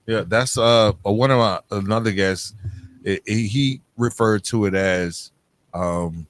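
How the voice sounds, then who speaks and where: A man's voice: lowish voice, takes it slowly. An American man hosting a podcast, interviewing in a casual, colloquial way. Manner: informal, colloquial. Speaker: podcast host.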